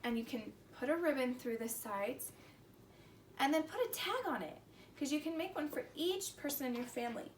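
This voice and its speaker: A woman hosting a story time for young children, talking in simple, animated, exclaiming phrases. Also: high-pitched, moderate pace. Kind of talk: expressive read-aloud to preschool children. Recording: roomy echo, distant mic, background noise.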